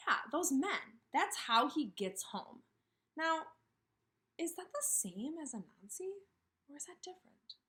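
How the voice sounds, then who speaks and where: A woman in her thirties giving a kindergarten read-aloud lesson, speaking with emphasis and pausing after questions as if for children to answer. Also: high-pitched. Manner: animated, asks questions and pauses for answers, slow emphatic phrasing. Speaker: kindergarten teacher. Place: small indoor room with brick wall.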